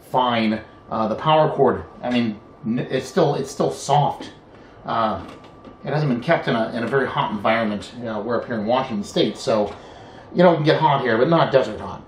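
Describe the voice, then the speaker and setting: A man's voice: mid-range voice, a little mellow. Man talking through a repair, echoey room. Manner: unhurried thinking aloud, trailing pauses. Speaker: hobbyist video presenter. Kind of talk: unscripted hands-on repair walkthrough. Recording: echoey room with faint background noise.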